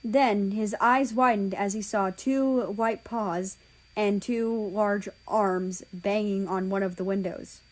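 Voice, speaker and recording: Slow-paced woman narrating a story. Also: mid-range voice, steady tone, poor audio. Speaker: amateur story reader.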